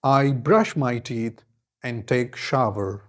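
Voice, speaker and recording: Guy in his 20s teaching english, slow careful answers, middling pitch. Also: fairly bright tone. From Khyber Pakhtunkhwa, Pakistan. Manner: repeats each answer in several forms, clear and deliberate. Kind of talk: English lesson with model answers.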